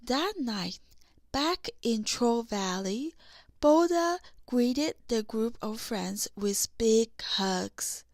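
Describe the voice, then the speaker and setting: A woman's voice: a little high, expressive, extremely slow, no room sound, clean studio sound. A woman narrating a children's story in a scripted read-along style. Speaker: audiobook narrator.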